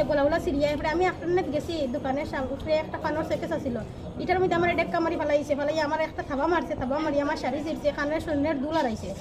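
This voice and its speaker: Fast, high-pitched Tripura Bengali woman, crowd behind. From Tripura, India. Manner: agitated, aggrieved. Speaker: complainant giving a statement to a reporter. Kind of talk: unscripted spoken complaint. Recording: loud crowd and street noise behind. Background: crowd chatter and traffic.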